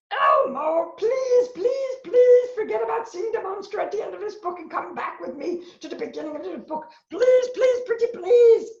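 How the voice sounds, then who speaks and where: A woman voicing a frantic, blustering furry male monster in an animated children's read-aloud, melodramatic and pleading. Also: very high voice, pitched above the performer's own voice, full character voice. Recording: roomy, dull lo-fi sound.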